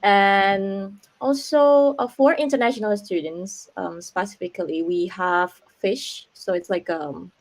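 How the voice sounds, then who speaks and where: A young Indonesian woman talks through her student life in English with a clear Indonesian accent. She hesitates a lot, filling gaps with um and like. It sounds like a casual video call. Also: mid-range voice, steady tone, unhurried, hardly any pauses. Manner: hesitant, many ums and likes. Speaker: international student guest. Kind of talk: casual online interview answers.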